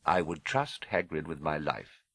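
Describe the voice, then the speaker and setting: A man voicing a calm, wise old wizard with a grave, measured and gently authoritative manner in an audiobook. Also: extremely low pitch, dry recording, voice pushed down, exaggerated voice. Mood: assured. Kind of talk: audiobook character voice.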